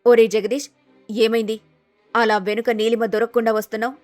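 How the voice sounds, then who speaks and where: A woman's voice: on the high side, little pitch movement, fairly quick, no room sound, close to an everyday voice. Lady doing a grumbly older village mother-in-law, dismissive and wary, close dry sound with music under it. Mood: suspicious. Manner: dismissive, muttering asides.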